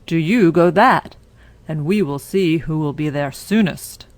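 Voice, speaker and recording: A woman voicing a big, wicked storybook wolf with a slow, falsely sweet, coaxing manner. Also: deep voice. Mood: sly, scheming.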